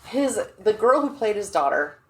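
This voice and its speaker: An American woman co-hosting a podcast, chatting casually with expressive, laughing asides and restarts. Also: mid-range voice, moderate pace. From United States. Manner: expressive, gestures and laughs, trails off mid-thought. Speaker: podcast co-host. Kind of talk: casual chat.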